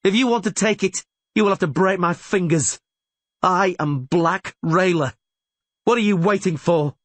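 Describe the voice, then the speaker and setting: A man voicing a fierce, defiant female mercenary warrior in an audiobook story, with forceful, shouted delivery. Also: a little high, moderate pace, breathy edge, no room sound, voice pushed up. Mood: savage defiance.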